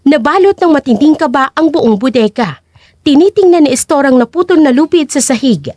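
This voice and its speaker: A woman's voice: high voice, lively intonation, a little fast, a little mellow, no room sound, studio quality. A woman narrating an audio drama in a scripted, dramatic storytelling style.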